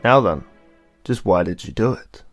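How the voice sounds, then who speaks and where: A man playing a cool, eloquent teenage older brother in an amateur audio drama, speaking in a calm, deliberate way. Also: lowish voice, soft background music, lightly acted. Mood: mysterious, calm.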